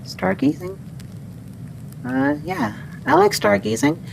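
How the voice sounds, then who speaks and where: A woman playing a friendly, soft-spoken female wendigo in an ASMR storytelling roleplay. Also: sits high, big pitch swings, slow, gravelly, noisy background, exaggerated voice. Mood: gentle, apologetic. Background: music.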